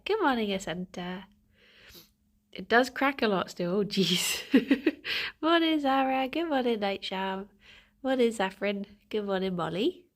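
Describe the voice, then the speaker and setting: A young woman streaming from home, chatting casually and greeting viewers by name, a little congested with a stuffy nose. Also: fairly high pitch. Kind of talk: casual morning chat with viewers.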